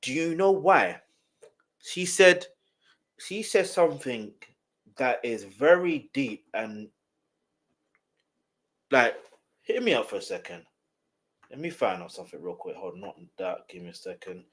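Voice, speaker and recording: A man with a slightly nasal voice, giving casual reaction commentary and talking straight to the viewer, often repeating words in quick bursts. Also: medium pitch, sing-song, fairly bright tone. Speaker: music reaction host.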